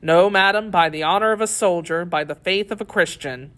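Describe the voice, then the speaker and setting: A woman's voice: lowish voice, a little fast, a bit bright, natural voice. A woman reading the part of a stern, devout male officer in a formal, solemn audiobook delivery. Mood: earnest. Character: stern, pious young male Puritan officer. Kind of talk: dramatic audiobook character reading.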